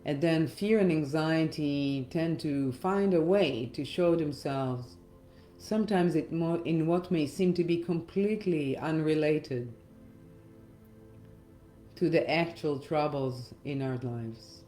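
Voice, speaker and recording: A middle-aged woman gives a reflective guided meditation talk in a gentle, measured manner with pauses. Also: low voice. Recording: roomy echo, faint music underneath. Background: faint music.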